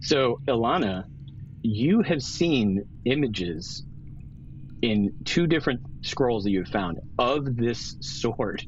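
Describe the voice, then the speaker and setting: A man acting as game master, narrating a tabletop roleplaying scene in halting, descriptive phrases. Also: mid-range voice, expressive, muffled recording.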